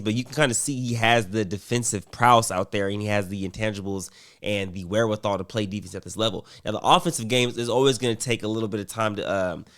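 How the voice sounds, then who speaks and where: A man's voice: on the low side, a bit bright. A man commentating casually on basketball, rambling with fillers and restarts while getting over a cold.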